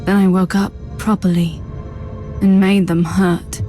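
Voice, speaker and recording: A woman's voice: on the low side, level tone, a little slow, dark tone, soft background music, lightly acted. A woman playing a troubled, resentful young psychic captive in a video game, guarded and wounded in manner. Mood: haunted. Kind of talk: dramatic video game voice acting.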